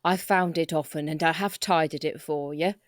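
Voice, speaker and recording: A woman with a slightly low voice improvises comic dialogue in character. The sound is dry and close. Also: steady tone, normal speed, no echo. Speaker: performer in an improvised comedy audio drama.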